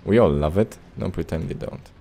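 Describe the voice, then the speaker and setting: A man's voice: sits low, a little fast, vocal fry, dark tone, a little hiss or hum. A man doing casual gameplay commentary with a hesitant, rambling, playful delivery. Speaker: let's play host.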